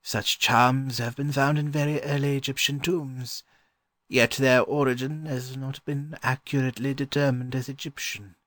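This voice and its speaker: A man acting as a weary old-fashioned scholar from a storybook, gentle and courteous. His voice sits at a medium pitch and he speaks in an unhurried, learned way, recorded dry. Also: level tone, no room sound, close to an everyday voice. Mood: scholarly musing. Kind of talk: dramatized children's audiobook.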